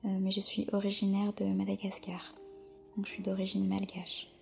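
A soft-spoken French woman, a podcast guest, answering slowly with many hesitant pauses in a whispery voice. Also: medium pitch, completely flat delivery, vocal fry, faint music behind. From France. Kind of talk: podcast interview.

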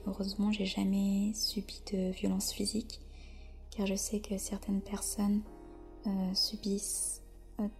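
A soft-spoken French woman, a podcast guest, answering slowly with many hesitant pauses in a whispery voice. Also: medium pitch, vocal fry. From France. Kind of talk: podcast interview.

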